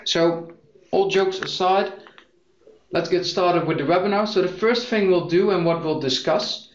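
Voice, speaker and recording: A young man with a Dutch accent, presenting a software webinar in an explanatory way with frequent fillers. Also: high voice, a little slow, slight background noise. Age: young adult. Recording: echoey muffled lo-fi audio.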